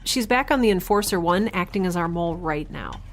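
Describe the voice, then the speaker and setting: A woman playing a chatty, nerdy, stubborn young scientist in an audio drama, rambling and halting over clear background noise. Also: on the low side, expressive. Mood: urgent, exasperated. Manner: rambling, halting, talking to herself. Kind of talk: audio drama monologue and dialogue.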